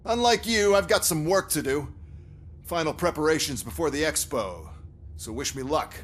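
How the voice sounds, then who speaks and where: Smug jerk boss, highish voice, sneering, sarcastic, sweary, video game. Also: male voice, expressive, moderate pace, fairly bright tone, a little hiss or hum. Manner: sarcastic, taunting. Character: sneering, arrogant, foul-mouthed male authority figure. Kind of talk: video game cutscene dialogue.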